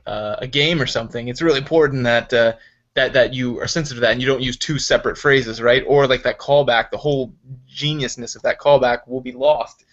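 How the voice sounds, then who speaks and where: Male podcast co-host, mid voice, halting jokey chat, a bit muffled. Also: mid-range voice, fairly even intonation, fairly bright tone. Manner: halting, jokey, searches for words. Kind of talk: casual chat.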